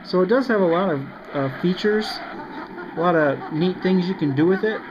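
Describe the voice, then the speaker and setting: A man's voice: high-pitched, moderate pace, a crowd in the background. A man casually demonstrating a radio, hesitant and full of ums and restarts. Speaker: radio reviewer. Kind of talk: casual product demo. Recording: lo-fi with loud radio static.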